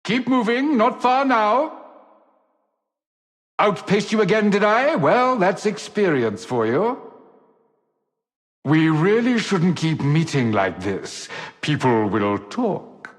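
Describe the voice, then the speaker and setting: Vain teasing space dandy for a game, unhurried and a bit high, theatrical, long reverb. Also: male voice, very animated, heavily acted. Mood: jaunty, smug. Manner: theatrical, genteel, drawling condescension. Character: dapper, vain, teasing male sci-fi rogue. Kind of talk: video game character voice lines.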